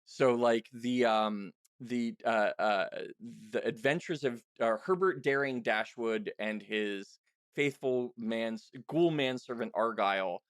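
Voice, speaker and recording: A man's voice: medium pitch, slow, smooth, no echo, studio quality. A man hosting a casual interview, talking candidly and self-deprecatingly with rambling asides. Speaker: podcast host and audio drama writer.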